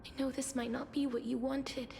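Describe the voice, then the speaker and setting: A woman playing a gentle, solemn young woman who tends to death in a video game, speaking softly and often in a whisper. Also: high-pitched, flat delivery, moderate pace, slight background noise. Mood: tender, sorrowful. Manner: soft, breathy, often whispering. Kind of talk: dramatic video game voice acting. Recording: game music behind the voice.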